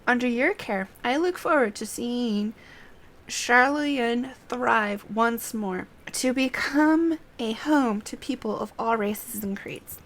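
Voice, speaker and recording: A woman voicing a composed female scholar in a fantasy game, with measured, formal, old-fashioned phrasing. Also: a little high, a bit bright.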